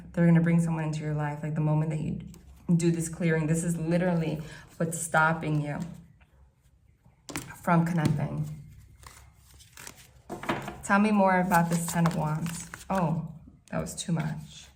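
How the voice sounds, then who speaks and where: A woman with a northeastern American accent, from the New Jersey and New York City area, talks through a tarot reading in a loose, rambling way, full of "like" and restarts. Her voice sits a little low for a woman. A faint hiss of room noise stays under her the whole time. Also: steady tone, normal speed, long pauses, a little mellow. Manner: chatty, rambling, frequent "like" and restarts. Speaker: tarot reader. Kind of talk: casual tarot reading to camera. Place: hot room at home.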